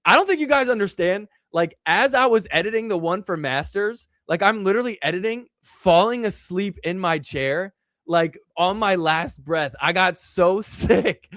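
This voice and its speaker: A chatty man hosts a casual live stream and rambles on with plenty of filler words. His voice sits a little high for a man, and it is still recovering from illness. The sound is dry and close to the mic. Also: lively intonation, normal speed, a bit bright, no room sound. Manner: rambling, filler-heavy, reads chat aloud. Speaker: host of a live stream show.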